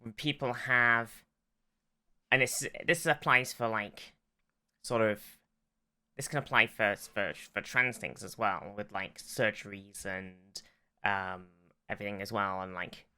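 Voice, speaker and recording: A man co-hosting a podcast, discussing in a casual style and feeling his way haltingly through his explanations. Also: fairly low pitch, steady tone, sharp tone, clear, resonant tone. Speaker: podcast co-host. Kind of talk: casual pop-culture discussion.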